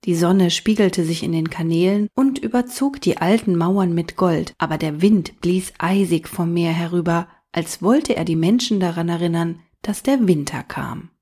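Audiobook narrator: woman, low register, slightly breathy, calm and even storytelling. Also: normal speed, hardly any pauses.

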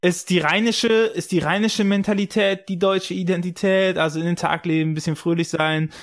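A young man co-hosting a casual podcast debate, animated and sarcastic, with many restarts and fillers. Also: sits high, normal speed. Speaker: podcast co-host.

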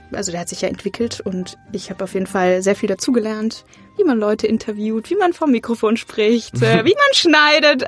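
A woman's voice: mid-range voice, slightly rough. A young woman co-hosting a podcast, chatting in a playful, informal way. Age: young adult. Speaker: podcast co-host, drama student. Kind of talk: casual podcast conversation.

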